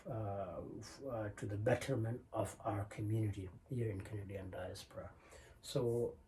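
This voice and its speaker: Man from South Sudan, podcast host, slow and halting, many fillers, dark mellow voice. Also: medium pitch. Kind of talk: solo podcast monologue.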